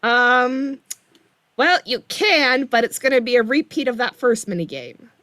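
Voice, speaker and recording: A woman's voice: fairly high pitch, lively intonation, unhurried, sharp tone, dry recording, clean studio sound. A woman chatting casually as a game developer on a livestream, explaining things in a flustered manner. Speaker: game developer joining the stream. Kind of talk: casual chat.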